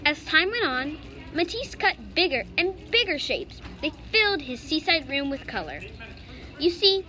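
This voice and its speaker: A woman's voice: high-pitched, big pitch swings, unhurried, bright, forceful tone. A female student reading a children's storybook aloud, with noise behind the voice. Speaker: student reader. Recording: clearly noisy background.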